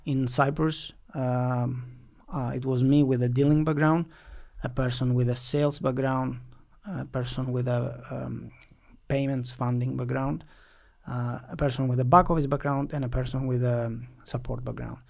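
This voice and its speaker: A man from Greece with a Greek accent, answering as a podcast guest in a halting way with frequent fillers. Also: mid-range voice, creaky. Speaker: podcast guest, finance company director. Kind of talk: interview answers recounting a career.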